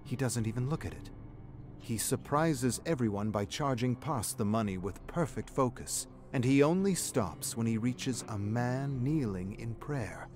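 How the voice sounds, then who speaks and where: An actor narrating a dramatic story in a solemn, measured way as a storyteller. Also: male voice, mid-range voice, lively intonation, a little mellow, lightly acted. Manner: solemn, measured storytelling that also voices the characters' dialogue. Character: solemn storyteller narrating a young man's troubled memories. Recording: background music under the narration.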